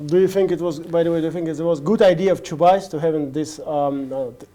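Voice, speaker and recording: Russian guy hosting in english, kinda high warm voice, lots of restarts. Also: steady tone. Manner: halting, restarts, casual. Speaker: host. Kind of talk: interview questions.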